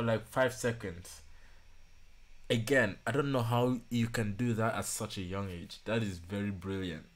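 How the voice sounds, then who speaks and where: Man, twenties, lowish voice, reaction video host, casual, musing, repeats himself, home studio mic. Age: 20s. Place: small home studio room.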